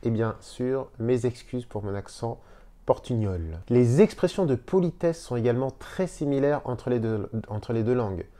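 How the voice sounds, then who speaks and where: A man who teaches Spanish, speaking in a casual video lesson from a hotel room and explaining slowly with repeated words. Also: mid-range voice, animated, mellow.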